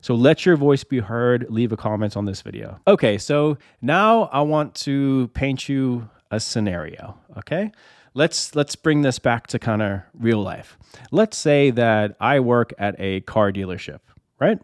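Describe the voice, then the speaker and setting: A man's voice: mid-range voice, animated, unhurried, dry recording. A man with an ordinary mid-range voice who is a little under the weather, so his voice sounds slightly off. He talks casually to his viewers and works through a long made-up example, asking rhetorical questions and repeating himself. The sound is dry and close, with no room in it. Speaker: reseller channel host. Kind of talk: casual explainer to camera.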